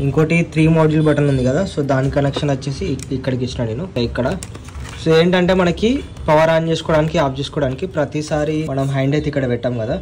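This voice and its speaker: A man's voice: on the high side, a little mellow. A man with a Telugu accent giving a casual step-by-step tutorial as a vlogger, mixing in English words. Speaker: vlogger presenting an installation guide. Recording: clearly noisy recording with ambient sound.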